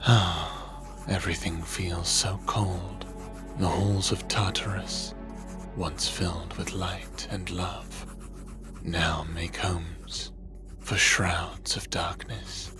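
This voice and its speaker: A man's voice: sits low, fairly even intonation, takes it slowly, over music. A man in a fantasy audio drama playing a lonely, brooding god of the underworld, earnest and hesitant in his speech. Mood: mournful, hushed.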